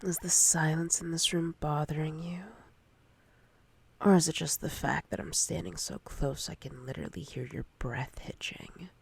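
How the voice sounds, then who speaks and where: A woman voicing a domineering, predatory female boss in intimate roleplay ASMR, speaking in a breathy, often whispered voice with slow, commanding, teasing menace. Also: low-pitched, steady tone, heavily acted. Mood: teasing, possessive.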